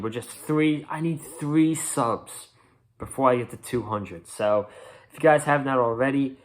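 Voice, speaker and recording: A man hosting an informal online news video, reading out notes and adding earnest fan commentary in a casual way. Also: mid-range voice. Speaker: host of a wrestling news video channel.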